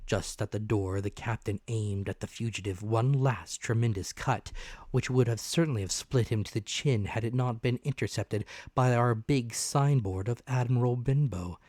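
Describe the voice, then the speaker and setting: A man's voice: mid-range voice, moderate pace, a little mellow, dry recording, studio quality. A man giving a dramatic narrated reading of a classic adventure novel. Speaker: audiobook narrator.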